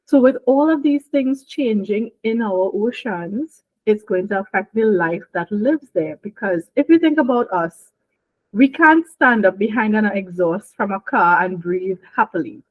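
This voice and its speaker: A woman's voice: medium pitch, expressive, unhurried, no room sound. A young woman from Trinidad and Tobago, a marine biologist talking casually and laughing often as she explains things in an interview. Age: young adult. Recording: dry, phone-like line.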